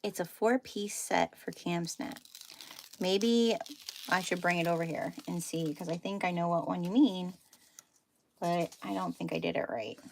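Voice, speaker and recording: A woman's voice: on the low side, a little slow, gravelly, a little hiss or hum. A woman with a Dutchy accent, chatting casually and poking fun at herself while she demonstrates sewing at home. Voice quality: chatty, self-deprecating, talks while working. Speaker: sewing livestream host. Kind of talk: casual sewing demo chat.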